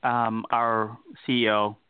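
A man of middle pitch explains patiently as an interview guest, leaning on frequent fillers. The sound is dry and narrow, like a phone call. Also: level tone, slow pace, smooth, dry recording. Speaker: company co-founder, guest. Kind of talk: casual interview answers. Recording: dry, tinny phone-line audio.

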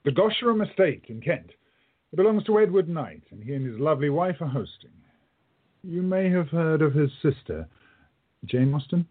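Man acting a courteous, husky-voiced time traveller. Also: fairly high pitch, expressive, moderate pace, phone-quality sound. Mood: courteous, cautioning. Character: kindly, courteous male time-travelling shopkeeper, gently guiding. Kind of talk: radio play acting.

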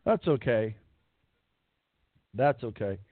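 Man, sports radio host, hoarse voice, rambling with fillers, phone-like dry sound. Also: medium pitch, animated, slow pace, no room sound. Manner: rambling, repeats words, many fillers. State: losing his voice. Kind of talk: casual radio show chat. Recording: tinny phone-line quality, dry.

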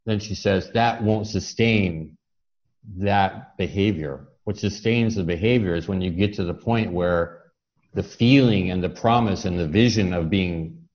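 Low-voiced man in reflective conversation. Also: a little mellow, dull audio. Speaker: talk show host.

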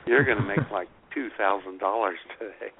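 A man's voice: lowish voice, fairly quick. A man hosting a radio show with casual, teasing comedic banter. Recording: lo-fi radio sound, faint noise.

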